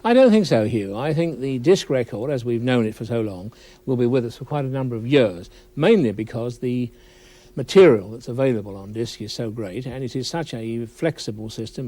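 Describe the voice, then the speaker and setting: Mellow-voiced British man, expert guest. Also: mid-range voice. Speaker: audio journalist, expert guest. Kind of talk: interview answer.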